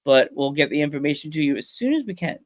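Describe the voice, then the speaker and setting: A stuffed-up man hosting a casual podcast. He chats loosely and laughs often. His voice sits a little high for a man, with a dry, close sound. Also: expressive, quick pace, no room sound. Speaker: podcast host. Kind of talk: casual chat.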